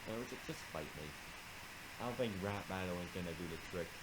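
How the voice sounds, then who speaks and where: A man voicing a menacing, boastful video game villain with a taunting, drawn-out delivery on a noisy home recording. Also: sits low, natural voice. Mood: challenging.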